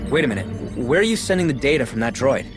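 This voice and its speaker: A man's voice: medium pitch, big pitch swings, quick pace, music in the background, natural voice. A man playing a wary, skeptical adventurer in a sci-fi video game, questioning things in a wry manner. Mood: suspicious, urgent. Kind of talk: video game cutscene acting.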